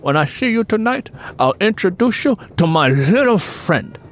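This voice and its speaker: A swaggering movie-gangster impression by a male narrator, playful and menacing, in a storybook scene. The pitch jumps high and swings around. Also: very animated, normal speed, a little hiss or hum. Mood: playful menace. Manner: swaggering, mimicking.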